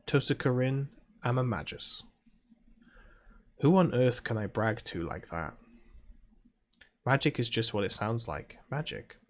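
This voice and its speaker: A man with a British accent reads visual novel text aloud and adds casual asides as a gaming video host, in a soft-spoken, chilled ASMR-like way. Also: mid-range voice.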